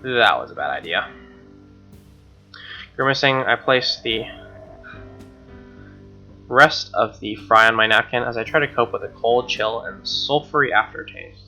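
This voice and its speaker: A man's voice: medium pitch, expressive, measured pace, pauses often, bright, forceful tone. A young man streaming a game, reading a visual novel aloud with casual reactions, recorded on a lo-fi microphone. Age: young adult. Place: small room indoors. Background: game music.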